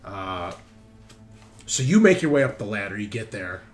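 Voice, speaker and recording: A man's voice: lowish voice, big pitch swings, moderate pace. A man acting as game master, narrating a tabletop roleplaying game in a casual, profane, bantering way. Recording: music behind the voice. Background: background music.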